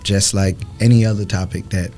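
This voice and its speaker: A man co-hosting a podcast in casual chat, speaking in slow, affirming, drawn-out phrases. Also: low-pitched, fairly even intonation, dark tone, faint music behind. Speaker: podcast co-host.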